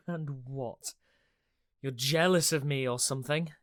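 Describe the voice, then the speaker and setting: A woman's voice: sits low, slow. A woman acting in a radio play as an earnest, idealistic immortal, questioning and pleading with emotional investment. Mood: indignant. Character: earnest, idealistic, challenging immortal counterpart of Death.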